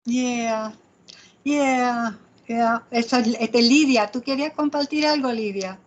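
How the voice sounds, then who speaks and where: A woman's voice: a little high, takes it slowly. A woman leading a group Bible study, mixing in English fillers and laughing often. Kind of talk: group discussion moderating. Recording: muffled video call audio.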